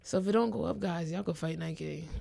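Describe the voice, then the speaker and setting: A young woman co-hosting a podcast in casual chat, born in Haiti and raised in the United States. She is playful and animated, laughs often and talks in slang. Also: lowish voice, fairly quick, vocal fry. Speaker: podcast co-host.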